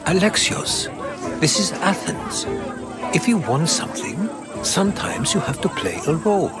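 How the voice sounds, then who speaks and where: An actor playing a learned, cautious Greek historian in a video game, speaking in a measured, articulate way. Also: male voice, fairly high pitch, very animated, loud music behind the voice, a loud crowd. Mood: discreet. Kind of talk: dramatic video game acting.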